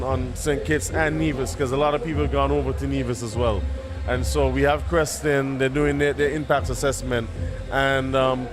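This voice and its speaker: A man answering interview questions as an event chairman, halting and repeating his words. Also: mid-range voice. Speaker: festival committee chairman being interviewed. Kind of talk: spontaneous on-location interview. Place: at a music festival at night. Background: live concert music.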